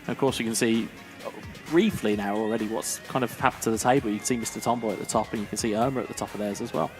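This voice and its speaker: A man's voice: fairly low pitch, fast talker, soft background music. A man doing co-commentary on an esports football event, talking things through with lots of fillers. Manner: thoughtful, with many fillers like "kind of". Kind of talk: analytical co-commentary.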